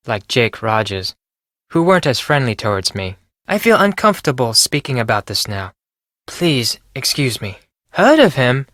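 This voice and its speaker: An earnest teenage exchange student in a video game. He has a Japanese accent, a medium-pitched voice and formal, careful, anxious delivery, recorded close and dry. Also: fairly bright tone, no room sound. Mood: helpful, reserved. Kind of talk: scripted video game voice lines.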